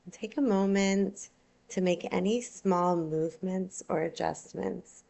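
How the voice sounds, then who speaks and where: A woman teaching yoga nidra, guiding a relaxation meditation in a soothing, unhurried, gentle way. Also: fairly low pitch, gravelly.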